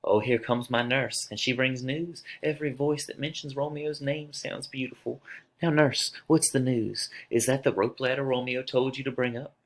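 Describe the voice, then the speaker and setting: A man's voice: medium pitch, fairly even intonation, moderate pace, voice pushed up, lightly acted. A man playing a lovesick young girl in a dramatic reading of a tragedy, declaiming with open emotion. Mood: yearning. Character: lovesick young girl, impatient, then anguished and grieving.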